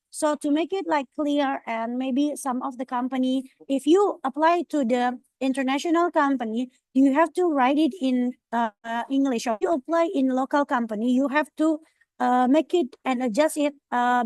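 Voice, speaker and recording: A woman's voice: high voice, no echo. Hesitant Indonesian woman presenting online. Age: young adult. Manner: hesitant, many fillers and restarts.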